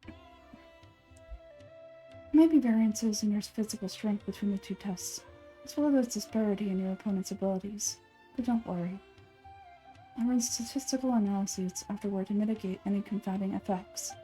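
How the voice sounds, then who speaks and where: A woman voicing an aloof, calculating male scholar from a video game, speaking in a formal, measured, clinical way. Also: mid-range voice, warm tone, soft background music, natural voice, low-quality recording. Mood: analytical, detached. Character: aloof, scholarly young man, calculating and composed researcher. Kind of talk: game dialogue read aloud.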